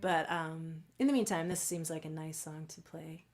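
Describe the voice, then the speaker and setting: A woman talking casually at home between songs of a live-streamed concert, softly and rambling, with many fillers, her voice going hoarse. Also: sits low, steady tone, normal speed. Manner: soft, rambling and self-deprecating, with many fillers. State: losing her voice. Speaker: singer-songwriter performing a home concert. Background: guitar.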